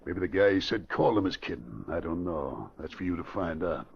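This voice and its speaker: A man's voice: sits low, lively intonation, fairly quick, creaky, husky, exaggerated voice. An actor playing a shrewd, tough crime boss, blunt and slangy as he gives orders, in a 1940s radio drama style. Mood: blunt, wry. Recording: muffled, faintly noisy vintage radio recording.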